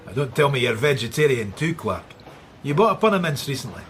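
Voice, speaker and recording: An actor playing a sardonic, blunt Scottish police inspector in a radio drama. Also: male voice, medium pitch, big pitch swings, brisk, a bit bright. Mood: dry briefing. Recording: faint background noise.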